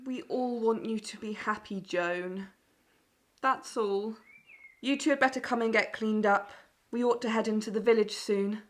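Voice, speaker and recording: A woman's voice: fairly high pitch, a little slow, close to an everyday voice. A woman playing a caring farmer's wife and mother in an amateur audio drama. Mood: concerned.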